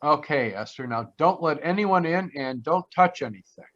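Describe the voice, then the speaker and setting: An actor playing a flippant, wry ex-cop private detective in a 1940s-style radio play. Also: male voice, on the high side, animated, moderate pace, fairly bright tone. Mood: wry, businesslike. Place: remote live performance over video call. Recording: dull, lo-fi video call audio.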